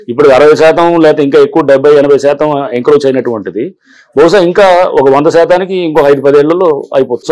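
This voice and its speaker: A man's voice: a little high, a little mellow, low-quality recording. A man in his fifties, a government official, explaining his work in an office interview on a lapel mic. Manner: explanatory, mixes English phrases, frequent "see". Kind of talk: interview answers. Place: office, indoors.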